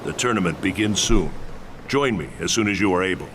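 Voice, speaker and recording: An actor playing a solemn, regretful thunder god in a video game, speaking in a formal, measured way. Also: male voice, sits low, animated, background noise. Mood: grave, assured. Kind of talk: video game cutscene acting.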